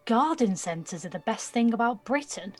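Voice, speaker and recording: A streetwise nineteen-year-old woman in an audio play, blunt and guarded. Her voice is fairly high and noticeably husky. She talks in clipped, cheeky slang over faint music. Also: lively intonation, normal speed. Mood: teasing, guarded. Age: young adult. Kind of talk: naturalistic audio drama dialogue.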